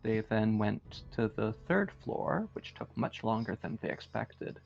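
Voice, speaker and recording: A man with a gravelly voice sums up the party's adventure slowly and carefully, fixing his words as he goes. The sound is dull and lo-fi, with faint music underneath. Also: lowish voice, sing-song. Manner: measured, storytelling recap with self-corrections. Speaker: player. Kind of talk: casual recap of the story.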